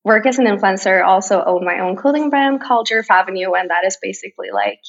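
A woman's voice: medium pitch, fast, vocal fry, dull audio. A woman in her twenties from Sweden, speaking English with a Swedish accent as a podcast guest, bubbly and giggly, chatting casually from home. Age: 20s. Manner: bubbly, giggly, loose and informal. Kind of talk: casual chat. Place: home, over a video call.

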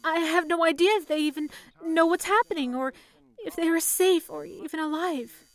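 An actress playing a polite, earnest young telephone operator in an old-time radio drama, soothing and composed in manner. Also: female voice, very high voice, moderate pace. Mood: worried. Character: young 1930s telephone operator, calm, earnest woman saving for college.

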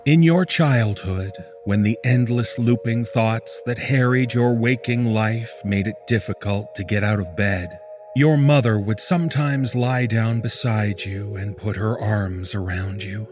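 A man reading a scripted second-person horror story in a measured, deliberate manner. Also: on the low side, a little mellow, soft background music.

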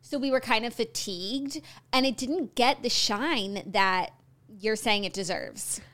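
Bright-pitched woman chatting on a podcast. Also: unhurried. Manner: chatty, teasing, lively reactions. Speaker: podcast co-host. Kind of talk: casual chat.

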